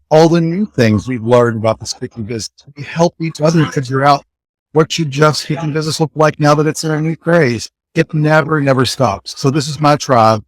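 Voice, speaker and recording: A man interviewed at a conference, talking earnestly and gratefully about his professional group. His voice has an ordinary middle pitch. The sound is dry and close. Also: no echo, poor audio. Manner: earnest, warm, jokes along. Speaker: interviewee, speaker and executive coach. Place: conference hall.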